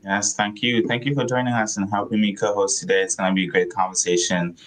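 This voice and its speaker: A man's voice: on the low side, little pitch movement, quick pace, poor audio. A man co-hosting a live online talk in a loose, chatty way with plenty of fillers. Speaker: co-host. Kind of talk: casual live-stream hosting.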